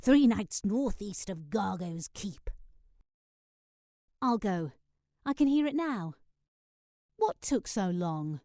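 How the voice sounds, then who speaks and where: Game mentor figure: mature warrior woman, dark unhurried tone, earnest and cautious. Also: medium pitch, lively intonation, no room sound, clean studio sound. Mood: urgent, resigned. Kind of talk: dramatic game cutscene acting.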